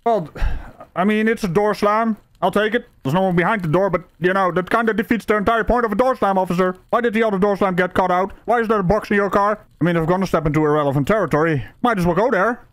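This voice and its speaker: High-pitched sarcastic male commentator. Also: fast, a bit bright, dry recording. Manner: mock-outraged, sarcastic, swearing. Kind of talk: comedic reaction commentary.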